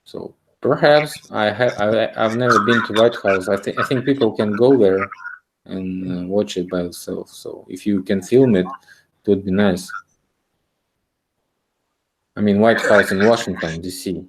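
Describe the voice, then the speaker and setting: Low-voiced man, halting livestream chat. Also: noisy background. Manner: hesitant, halting, stumbles over words. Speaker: livestream host.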